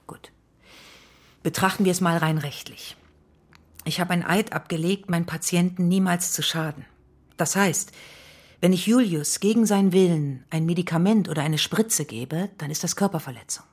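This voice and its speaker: A woman playing a direct, principled family doctor in a radio play, speaking in a measured, formal way. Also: fairly low pitch, breathy edge. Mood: matter-of-fact. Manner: measured, matter-of-fact, formal.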